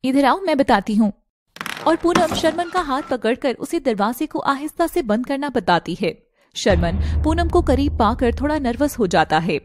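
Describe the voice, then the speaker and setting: A woman's voice: high-pitched, lively intonation, normal speed, noisy background. A woman with an Indian accent narrating a scripted story.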